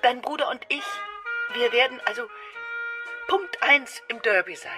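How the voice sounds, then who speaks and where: A woman's voice: on the high side, animated, unhurried, over loud music. An actress playing a chattering, nervous, needling middle-aged mother in a German radio play. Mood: chatty, coaxing.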